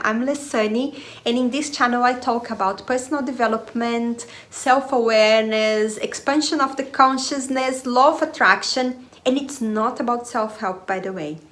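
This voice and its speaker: A woman's voice: on the high side, fairly bright tone. A woman whose first language is Portuguese, talking informally to her viewers as a channel host, often searching for words. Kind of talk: informal personal talk to viewers.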